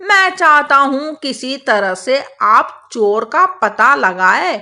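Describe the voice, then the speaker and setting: A woman's voice: high voice, lively intonation, takes it slowly, pushed and bright, exaggerated voice. A woman voicing a respectful, worried man bringing a complaint to a royal court in a children's cartoon, with a careful, deferential manner. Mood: worried, pleading. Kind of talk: Hindi children's moral cartoon dubbing.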